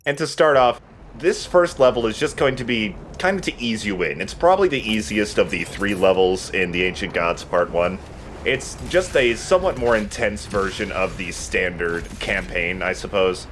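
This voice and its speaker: A man's voice: on the low side, lively intonation, normal speed, fairly bright tone, slight background noise. A man giving casual, joking and sometimes profane game commentary as the host of a let's play.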